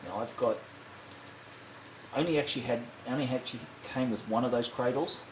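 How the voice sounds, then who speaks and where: A man giving a casual, unscripted hands-on demonstration, halting and thinking aloud as he goes, often correcting himself. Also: mid-range voice. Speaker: hobbyist demonstrating a kit build. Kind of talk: casual unscripted how-to narration. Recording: clearly noisy amateur home recording.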